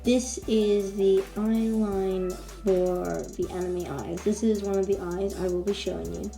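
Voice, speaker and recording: Croaky woman host, very dark mellow tone, casual drawing tutor, faint music under. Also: medium pitch, fairly even intonation, unhurried. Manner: chatty, repeats instructions with fillers. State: croaky, losing her voice.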